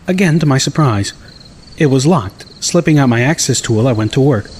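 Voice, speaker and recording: A man's voice: mid-range voice, a little mellow, slight background noise. A man reading first-person scripted story narration as an audiobook narrator.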